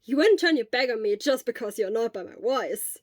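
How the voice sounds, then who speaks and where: Lady doing a loud upbeat teen boy, loyal hero type, high and emphatic, audio story. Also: expressive, fairly quick, no echo, clean studio sound. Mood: playful. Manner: exuberant, earnest, emphatic.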